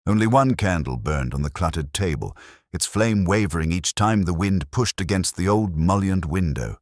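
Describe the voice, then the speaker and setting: Very deep-voiced man narrating a detective story. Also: expressive, normal speed, dry recording, clean studio sound. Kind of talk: scripted first-person detective story narration.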